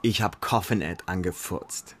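An actor playing a cocky, brash teenage gang member in a crime radio drama. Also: male voice, sits low, measured pace, breathy edge. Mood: boastful.

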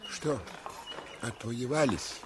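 An actor playing a calm soldier heading to the front, who gives brief, polite, old-fashioned replies in a 1970s radio play. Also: male voice, medium pitch, slow pace, lightly acted. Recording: old radio recording with steady hiss.

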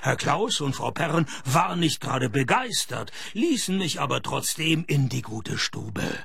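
An actor in a radio play playing a laconic, wisecracking private detective. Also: male voice, on the high side, measured pace, vocal fry, slightly rough, no room sound, studio quality, exaggerated voice. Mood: deadpan narrating. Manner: deadpan, laconic. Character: dry, wisecracking private detective narrating his case. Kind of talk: radio play narration and dialogue.

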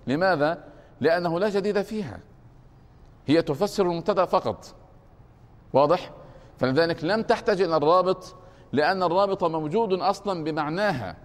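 A slightly higher-pitched man teaches a grammar lesson, walking through each example step by step. His voice carries a long reverb, as if recorded in a lecture hall. He checks with his listeners again and again. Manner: deliberate, repeats points and keeps asking if it is clear.

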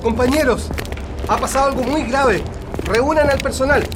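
An actor playing an urgent, commanding Chilean union comrade in a radio drama. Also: male voice, extremely high pitch, moderate pace, over loud music. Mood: urgent, alarmed.

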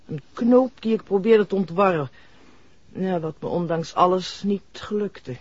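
Low, husky, guarded lady doctor. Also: darkish tone, dry recording. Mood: guarded, reflective. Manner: formal, deliberate, hesitant. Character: reserved, guarded, mysterious female doctor. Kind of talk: 1960s Dutch radio play acting. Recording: dull, dry vintage radio recording.

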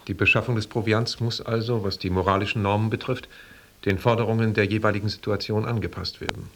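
An actor playing an inquisitive, precise expedition member in a German radio drama, speaking formally and deliberately with dry logic. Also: male voice, lowish voice, steady tone, fairly bright tone.

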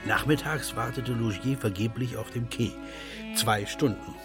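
A man's voice: medium pitch, a little slow, loud music behind the voice. A man reading scripted literary narration as the narrator of a radio drama.

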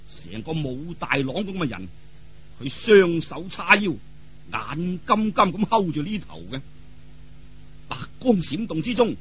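Man, Cantonese accent, dramatic martial arts storytelling, bright forceful tone, husky edge, colloquial, theatrical. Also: high voice. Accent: Hong Kong or Guangdong Cantonese. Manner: theatrical, colloquial, with vivid exclamations and sound effects.

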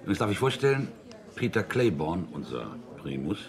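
An actor in a German radio drama playing a hearty, self-important and prickly space captain. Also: male voice, low voice, sing-song, brisk, crowd noise. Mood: jovial, teasing. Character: boastful, prickly space captain, admired old schoolmate.

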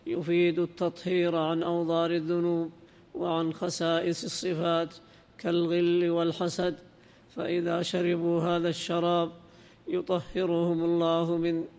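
A man reading a classical religious text aloud as a student in a lesson, in a formal, measured, half-chanted recitation. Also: a little high, completely flat delivery, darkish tone. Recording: long hall-like reverb.

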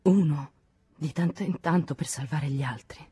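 A sick, cornered man voiced by a female audiobook reader, breathy and husky. He moans his excuses weakly, pleading and justifying himself. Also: deep voice, normal speed, dark tone. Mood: weak, pained. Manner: breathy, moaning, sobbing, weak. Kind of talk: dramatic audiobook character acting.

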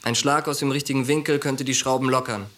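An actor playing a wary young army sergeant in a radio drama, dry and guarded, a loyal friend. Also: male voice, mid-range voice, steady tone, quick pace, fairly bright tone, close to an everyday voice. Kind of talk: German radio drama acting.

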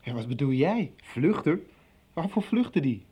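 An actor in a radio soap playing an earnest young man, probing and confiding, with a husky voice. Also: on the high side, very animated, measured pace, dark tone, full character voice. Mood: probing, curious. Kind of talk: 1990s Dutch radio soap acting.

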